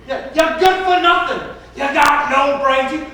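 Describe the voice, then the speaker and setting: Brash showbiz producer, wheedling, hustling, drawn-out delivery, broad comedy, very echoey distant stage. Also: male voice, very high voice, bright, forceful tone, exaggerated voice. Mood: scornful. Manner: blustering, hustling. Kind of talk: broad theatrical comedy acting. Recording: echoey stage recording from far off, faint noise.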